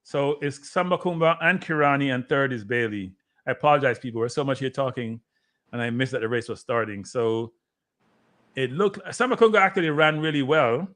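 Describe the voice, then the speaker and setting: A man's voice: mid-range voice, brisk, fairly bright tone. A man hosting a watchalong, giving casual live sports commentary. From Jamaica.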